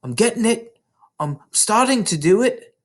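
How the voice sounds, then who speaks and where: A man voicing a determined, excitable young schoolgirl in a storybook, speaking with earnest emphasis. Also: high-pitched, a little slow, voice pushed up. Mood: excited, triumphant.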